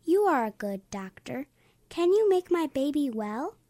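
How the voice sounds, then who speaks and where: A woman reading a storybook aloud and voicing a gentle little girl who mothers her teddy bear, in a soft, coaxing voice pitched above her narration. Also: big pitch swings, measured pace, dry recording. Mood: pleading. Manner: soft, coaxing, higher and quieter than the narration.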